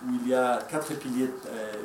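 A Spanish-accented man speaks at a conference lectern, pausing often on fillers and restarting his phrases. His voice is mid-pitched and sounds distant in an echoey hall. Steady room noise sits underneath. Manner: hesitant, many fillers and restarts. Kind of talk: conference presentation. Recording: echoey room, mic from far off.

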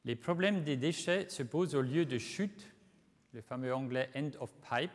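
Man from Switzerland lecturing in a hall. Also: mid-range voice. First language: not French. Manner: measured, deliberate, with occasional slips. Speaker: guest lecturer. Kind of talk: conference lecture. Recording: long reverb of a lecture hall.

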